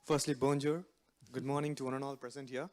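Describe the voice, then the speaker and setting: A man introduces himself formally and earnestly. His voice sits in a middle range and stays even. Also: medium pitch, fairly quick, slight background noise. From India. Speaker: incoming student. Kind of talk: short self-introduction at a ceremony.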